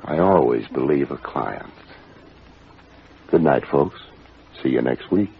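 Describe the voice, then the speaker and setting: An actor playing a wry, hard-boiled middle-aged private detective in a 1950s radio drama, sardonic and clipped. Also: male voice, extremely low pitch, lively intonation, takes it slowly, gravelly, background noise, heavily acted. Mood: dry, weary. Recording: tinny old radio recording, music behind.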